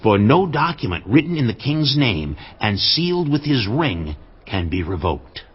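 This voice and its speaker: Commanding, husky Persian king. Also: medium pitch, big pitch swings. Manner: slow, weighty, imperious delivery. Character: commanding, wrathful Persian king in a Bible drama. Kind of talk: dramatized audio Bible acting. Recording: dull lo-fi audio with music behind.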